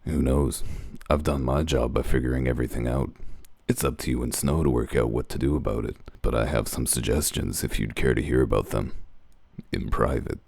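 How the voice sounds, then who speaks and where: A man playing a gruff, world-weary detective in a comic dub, with a gravelly voice and a methodical, matter-of-fact way of explaining. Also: extremely low pitch, steady tone, fairly quick, darkish tone, no echo, studio quality, full character voice. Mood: dry, casual.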